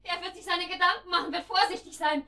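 A defiant hostage in a radio play. The voice is high and bright, with a tense edge, heard at some distance in a roomy space. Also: female voice, steady tone, quick pace. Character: defiant captive woman held hostage by gangsters. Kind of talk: German radio drama acting.

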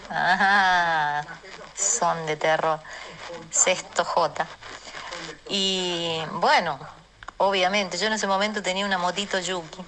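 A woman with an Argentine accent telling a personal anecdote casually, with pauses and colloquial asides. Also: on the low side, fairly even intonation, sharp tone, low-quality recording. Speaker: storyteller in a listener voice message.

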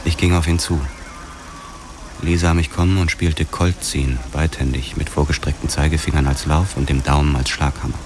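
A man narrating in the first person in a measured, hard-boiled style for a German radio drama. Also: a bass voice, little pitch movement, noisy background.

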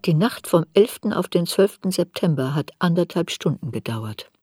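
An older woman writer reflecting on her younger self. Her voice is fairly low and a little husky, with faint music behind it. She narrates deliberately, in a literary radio drama style. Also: animated, warm tone, dry recording, studio quality. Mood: reflective.

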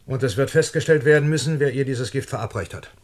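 Actor as male doctor, medium pitch, grave, formal, deliberate, stern, radio-play delivery. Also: lightly acted. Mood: grave, firm. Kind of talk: German radio play acting.

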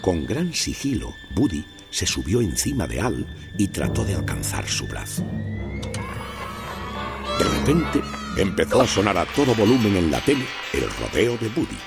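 A man narrating a children's storybook with expressive storytelling emphasis. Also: fairly low pitch, normal speed. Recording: music playing under the voice.

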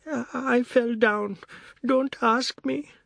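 A man voicing a frightened young man who pleads and protests in a high, strained voice. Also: fairly even intonation, moderate pace, vocal fry, dry recording, exaggerated voice. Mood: miserable.

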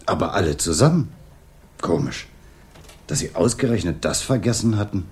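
An actor playing a commanding, sardonic police inspector who needles people in a radio drama. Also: male voice, sits low, lively intonation, moderate pace, a little mellow. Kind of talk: 1980s German radio drama acting.

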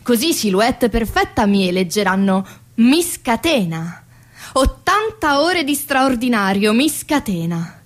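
Wry, worn-out factory worker. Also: female voice, fairly high pitch, very animated, measured pace. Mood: bitter, ironic. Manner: ironic, emphatic repetitions. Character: exhausted, bitterly ironic woman factory worker. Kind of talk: radio theatre monologue.

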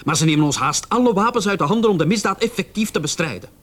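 A man's voice: high voice, animated, quick pace, fairly bright tone. A man acting in a radio play as a zealous, cynical young policeman, insistent and sarcastic. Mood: bitter. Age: young adult.

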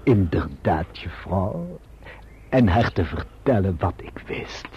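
An actor playing a formal, servile and self-serving manservant in a Dutch radio drama, with a Netherlands accent and measured delivery. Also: male voice, low-pitched, big pitch swings, creaky, warm tone, a little hiss or hum, exaggerated voice. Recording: muffled old radio drama recording.

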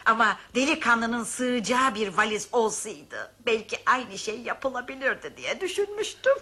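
Animated, husky old lady. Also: a little high, moderate pace, exaggerated voice. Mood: helpful. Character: inquisitive, kindly old lady travelling by train. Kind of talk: Turkish radio drama acting.

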